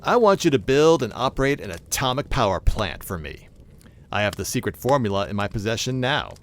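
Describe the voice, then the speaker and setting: An actor playing a scheming, pompous crime boss with deliberate menace in an audio drama. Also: male voice, medium pitch, sing-song, a little hiss or hum. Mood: persuasive. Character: smooth, scheming male criminal mastermind. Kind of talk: audio drama villain acting.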